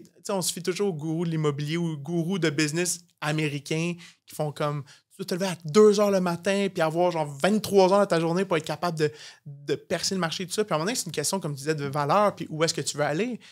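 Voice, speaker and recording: A young man with a Quebec French accent chatting casually as a podcast guest, emphatic and informal, dropping in English slang. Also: fairly high pitch. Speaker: podcast guest, real estate agency owner.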